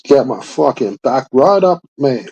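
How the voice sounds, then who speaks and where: A man's voice: mid-range voice, very animated, a little slow, darkish tone, breathy edge. A man, rambling and swearing through a laid-back casual chat.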